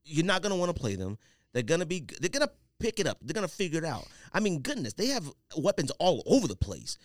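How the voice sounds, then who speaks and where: Male American podcast co-host, higher-pitched and wildly animated, emphatic and slangy, argues his sports takes, close dry sound. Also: fast, no echo. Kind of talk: casual sports banter.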